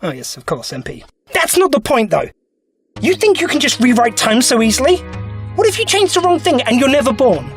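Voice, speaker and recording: A woman's voice: high voice, very animated, fairly quick, soft background music. A woman playing a sardonic, principled time traveler in an audio drama, with a wry, defiant manner. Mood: sardonic, challenging.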